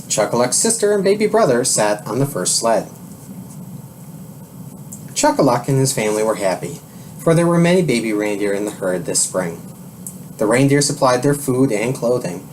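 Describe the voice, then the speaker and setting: A man reads a children's story aloud in a medium-pitched voice. His intonation rises and falls in a lively way, with measured, expressive phrasing. Faint noise sits behind the voice. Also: rough recording. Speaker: storybook reader.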